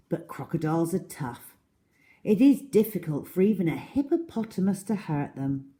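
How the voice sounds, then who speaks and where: A woman reads a storybook to children with plenty of drama. She takes her time and varies her pitch widely, giving a deliberate, expressive narration.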